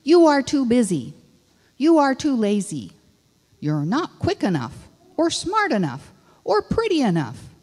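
A woman's voice: high voice, huge pitch swings, measured pace, darkish tone, heavily acted. Woman reading as Elzevir, a stern fault-finding keeper. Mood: scolding. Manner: stern, scolding. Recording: long reverb of a large hall.

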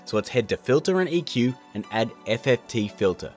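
A man hosting a software tutorial in a casual, chatty, jokey narrating style. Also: mid-range voice, lively intonation, fairly quick, faint music behind. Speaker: tutorial host.

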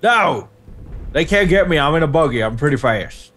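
A man's voice: a little high, expressive, normal speed, fairly bright tone. A young man joking around playfully while playing a shooter game with a friend. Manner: goofy, playful, mock military callouts. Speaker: co-op gaming guest. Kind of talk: casual co-op gameplay chat.

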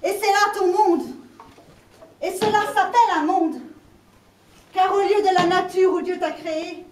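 Woman acting high-voiced, echoey, despairing scholar. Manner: solemn, declamatory, deliberate. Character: despairing, world-weary scholar yearning for magic. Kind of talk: theatrical dramatic monologue. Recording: echoey room, distant mic, faint noise.

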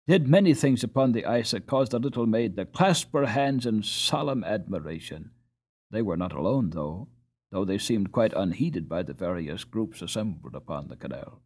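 Guy reading an old storybook out loud, really expressive, voice goes up and down a lot. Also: mid-range voice. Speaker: audiobook narrator. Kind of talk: scripted storybook narration.

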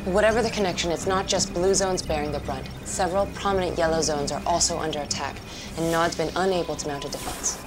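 An actress playing a serious, businesslike military intelligence officer in a game cutscene, reporting in a matter-of-fact way. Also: female voice, low-pitched, steady tone, fast talker, music in the background, close to an everyday voice. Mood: serious, urgent. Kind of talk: dramatic game cutscene acting.

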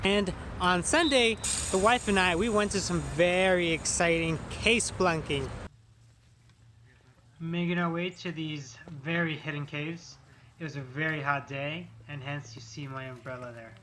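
A man's voice: fairly high pitch, a little slow, a bit bright. A man, chatting upbeat as a vlogger, talking on a hike. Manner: upbeat, chatty, tour-guide tone. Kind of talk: casual vlog narration. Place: outdoors on a hiking trail. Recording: noisy outdoor recording.

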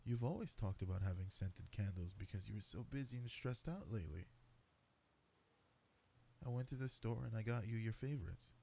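Man acting a low, gravelly, tender cat-boy boyfriend. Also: moderate pace, slight background noise. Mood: doting, playful. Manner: soft, tender, coaxing, intimate. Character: affectionate, doting male cat-boy boyfriend. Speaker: roleplay voice actor. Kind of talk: intimate boyfriend roleplay, sleep-aid ASMR.

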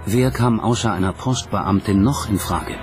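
A man's voice: on the low side, moderate pace, music in the background. A man narrating a documentary from a script in a dramatic storytelling style.

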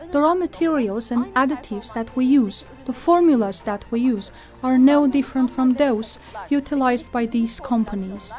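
Female corporate presenter: higher-pitched, formal and deliberate, scripted lines over a light music bed. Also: a little mellow.

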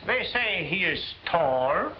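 A man playing a principled older professor, lecturing in measured, rhetorical 1940s film style. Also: sits high, big pitch swings, full character voice. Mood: measured, pointed. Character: principled older male professor, defiant and deliberate. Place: classroom film set. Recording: muffled, echoey, noisy 1940s film soundtrack.

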